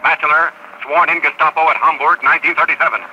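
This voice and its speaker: Dry clerk reporting over radio, terse, really sharp highish voice, old muffled 40s show. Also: male voice, fairly even intonation, fast talker, a little hiss or hum. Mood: matter-of-fact. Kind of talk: 1940s radio acting.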